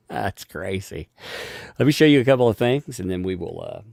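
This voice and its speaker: A man hosting a casual live stream, thanking viewers for donations and rambling with frequent restarts and chuckles; he has a gravelly voice. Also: fairly low pitch, animated, moderate pace, darkish tone, no echo, clean studio sound.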